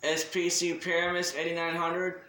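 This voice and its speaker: A man giving a casual, unscripted shout-out announcement as a hobby video creator, talking in a halting, deliberate way. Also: on the high side, completely flat delivery, reverberant, poor audio.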